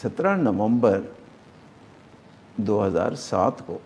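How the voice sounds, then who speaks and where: A man's voice: fairly low pitch, very animated, slow pace, a little mellow, slight background noise. A middle-aged man with a Pakistani accent answers political questions informally, repeating phrases for emphasis and dropping in English terms. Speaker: politician, interview guest.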